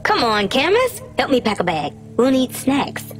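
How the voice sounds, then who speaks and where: An eager young child in a game drama. The voice is high and animated, chatty and anxious to please, over faint music. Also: female voice, normal speed. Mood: excited. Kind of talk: video game character acting.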